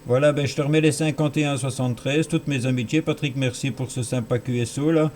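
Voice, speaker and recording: Faint background noise, man, Belgian French accent, medium pitch, casual radio operator talk, repeated phrases. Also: steady tone, brisk, a little mellow. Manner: repeats words and phrases for emphasis. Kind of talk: casual two-way radio chat.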